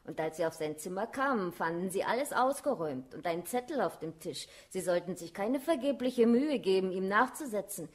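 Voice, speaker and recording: A woman's voice: medium pitch, lively intonation, a little fast, few pauses, natural voice. An actress playing a smug, self-assured, gossiping townswoman in a German radio drama. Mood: pointed.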